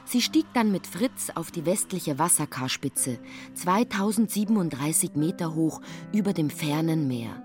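Woman, lowish voice, matter-of-fact literary narration, radio drama, faint music behind. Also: lively intonation, normal speed, darkish tone.

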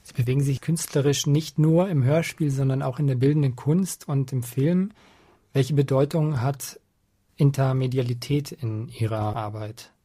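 A man working as a radio interviewer, putting measured questions to his guest. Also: mid-range voice, steady tone, warm tone, breathy edge.

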